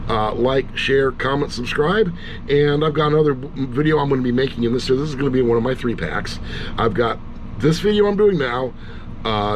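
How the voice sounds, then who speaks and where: Wry man chatting over loud background noise. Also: medium pitch, poor audio. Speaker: audiobook reviewer hosting his own channel. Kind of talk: casual rambling review talk. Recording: steady loud air-conditioner-like background hum.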